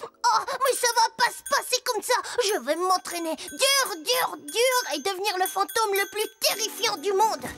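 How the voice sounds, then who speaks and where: Squeaky, childlike little cartoon ghost. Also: full character voice. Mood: determined. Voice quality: very high-pitched, childlike. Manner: earnest, expressive, drawn-out exclamations. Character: gentle little ghost child, eager, easily discouraged. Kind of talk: animated children's cartoon acting. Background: children's music.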